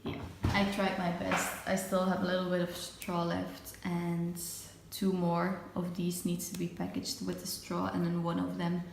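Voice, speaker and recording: Young female vlogger, gravelly and a little low, chatty, filmed on a phone in an echoey home room with noise behind. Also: completely flat delivery, unhurried, few pauses. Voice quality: slightly raspy from just waking up. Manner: chatty, self-narrating while wrapping gifts. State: hiccups. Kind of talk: casual vlog chat. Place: home kitchen and living room.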